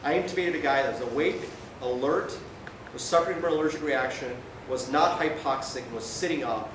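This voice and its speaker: A male doctor giving an informal medical lecture in a conversational way, often checking in with his listeners. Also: fairly quick. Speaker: emergency physician lecturing. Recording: very echoey room, distant mic, noisy rumble.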